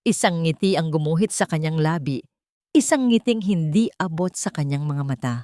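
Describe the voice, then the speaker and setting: A woman with a Filipino accent narrating a scripted dramatic story in a solemn, measured and emotive way. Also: low-pitched, lively intonation, dark tone, no echo, clean studio sound.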